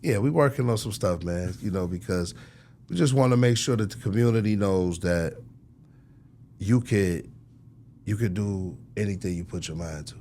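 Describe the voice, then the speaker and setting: American exec guy chatting in an interview, lowish voice, lots of slang, noisy room tone behind him. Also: moderate pace, darkish tone. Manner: frequent slang and conversational tags like "you know" and "man". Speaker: record executive being interviewed. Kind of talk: casual interview conversation.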